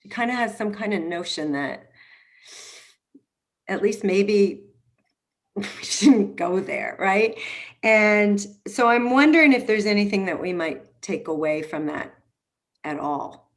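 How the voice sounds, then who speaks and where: A woman with a medium-pitched voice moderates a group talk on a video call. She speaks slowly and tentatively, leaving room for others. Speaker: discussion moderator. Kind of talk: casual discussion moderation.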